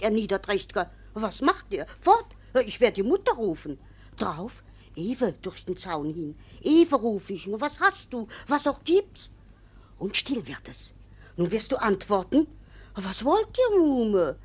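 A woman's voice: medium pitch, breathy edge. An actress playing a middle-aged, superstitious village woman who gives testimony in an emphatic, gossipy storytelling manner in a classic radio play. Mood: gossipy, confiding. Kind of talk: classic stage comedy radio play acting.

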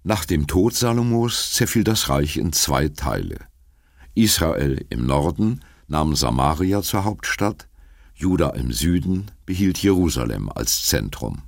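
A man reading an audiobook in a sonorous, measured narration style. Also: a bass voice, sing-song, creaky, no echo, studio quality. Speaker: audiobook reader. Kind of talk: scripted narration.

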